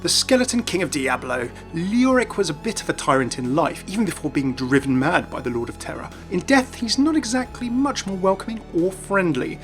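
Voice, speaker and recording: Fast-talking male gaming video host. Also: sits high, big pitch swings. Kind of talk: scripted narration for gaming video. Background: music.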